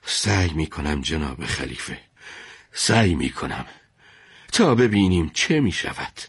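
A man's voice: rumbling low, big pitch swings, breathy edge, no room sound, studio quality, pitched below the performer's own voice, exaggerated voice. A man playing an anxious, wise judge in a dramatised historical story, grave, formal and deferential in manner. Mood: uneasy. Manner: grave, formal, worried delivery.

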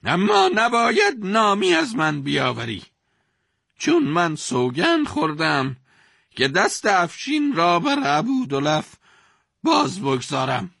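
Man acting a troubled, lively-voiced caliph. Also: fairly high pitch, creaky, no room sound, clean studio sound, voice pushed up, heavily acted. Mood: commanding. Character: troubled, impulsive caliph, regretful and commanding. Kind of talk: dramatised historical storytelling.

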